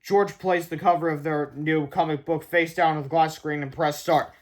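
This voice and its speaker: High-pitched man reading a children's book. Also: steady tone, normal speed. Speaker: storybook reader. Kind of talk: read-aloud children's book narration.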